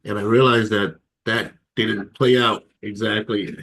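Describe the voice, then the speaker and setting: A man in his thirties from Ghana, telling his personal story as an interview guest, reflective and hesitant, with many fillers and soft laughs. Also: lowish voice, steady tone, unhurried. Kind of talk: candid personal testimony.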